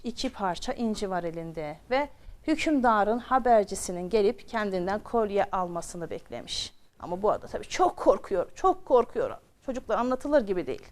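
A woman's voice: medium pitch, a little mellow. A woman telling a children's story expressively, repeating phrases for drama and addressing her young listeners directly.

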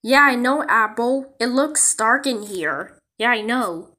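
A woman delivering amateur cartoon dialogue in a simple, drawn-out manner. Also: fairly high pitch, animated, bright, forceful tone.

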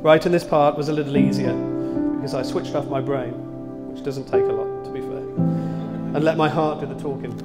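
A man's voice: fairly high pitch, fairly even intonation, moderate pace, few pauses. An English man in his thirties, the groom, giving a sincere and self-mocking speech to his bride at the reception. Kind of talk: wedding speech. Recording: hall reverb under loud music.

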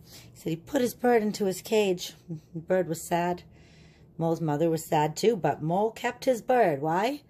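A woman reading a children's picture book aloud and explaining it in a deliberate, teacherly way. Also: medium pitch, dark tone, slight background noise.

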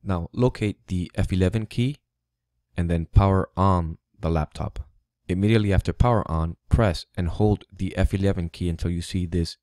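A man hosting a tech tutorial, giving plain step-by-step instructions with a few halting fillers. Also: sits low, warm tone, no room sound, studio quality. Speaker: tutorial host. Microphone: USB studio microphone.

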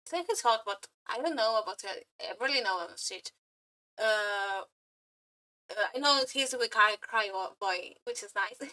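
A woman streamer chatting casually to viewers in a gushing, flirty fangirl manner, halting and repeating her words as she goes. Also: fairly high pitch, pushed and bright, low-quality recording. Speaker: aspiring VTuber and streamer. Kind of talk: casual reaction chat to viewers.

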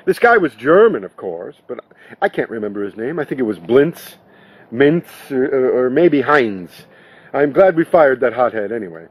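Man voicing a chummy, husky nightclub boss. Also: mid-range voice, very animated, moderate pace. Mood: grumbling. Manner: chummy, persuasive, showy. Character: smooth, glad-handing male nightclub owner and bandleader.

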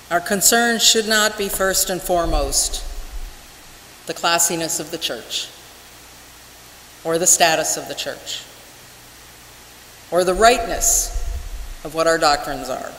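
An older woman preaching a sermon as a minister, measured and deliberate with emphatic pauses. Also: fairly low pitch, a bit bright. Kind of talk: church sermon. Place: large wooden church sanctuary, at the pulpit. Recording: echoey church hall with hiss.

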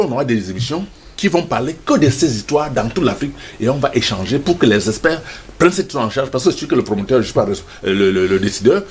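A man's voice: mid-range voice, a little hiss or hum. An older man speaking as a studio guest in a passionate debate, emphatic and stammering on repeated words.

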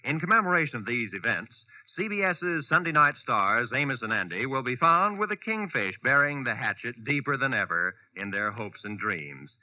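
A man's voice: medium pitch, big pitch swings, moderate pace, few pauses, sharp tone, dry recording. An American man announcing on 1940s radio in a polished, formal, scripted delivery. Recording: muffled old-time radio recording.

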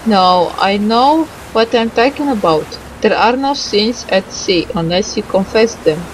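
A woman doing let's play commentary, reading game dialogue aloud haltingly and adding muttered asides. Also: medium pitch, expressive, a little hiss or hum, low-quality recording.

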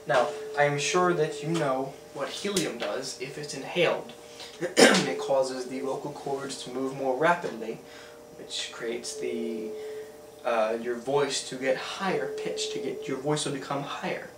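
An earnest young man explaining a home experiment at a middling pitch. He restarts his sentences often and clears his throat. The voice is roomy and distant, with a steady hiss, from a camera mic across a small room. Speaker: video host. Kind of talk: home science demonstration. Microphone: camera mic from a few feet away.

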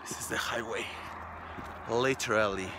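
A man's voice: fairly low pitch, steady tone, moderate pace. A man in his early thirties with a Mexican accent, a native Spanish speaker, chatting casually and jokingly to viewers on a handheld camera. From Mexico City, Mexico. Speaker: vlogger. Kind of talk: casual vlog chat to camera. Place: outdoors, walking on a mountain forest road. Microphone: phone at arm's length. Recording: noisy outdoor handheld recording.

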